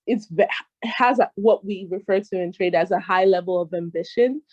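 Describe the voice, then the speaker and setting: A woman's voice: mid-range voice, a little mellow, dry recording, lo-fi sound. A young woman with an American accent, born in Nigeria, talking casually as an interview guest and hedging with many fillers and restarts. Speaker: guest trade expert.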